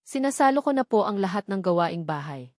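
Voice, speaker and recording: A woman's voice: mid-range voice, very animated, brisk, darkish tone, no echo, clean studio sound, lightly acted. A woman voicing an anxious, devoted mother with an earnest, tender, pleading manner in a dramatized story. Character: anxious, devoted, self-sacrificing young mother. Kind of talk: dramatized novel narration.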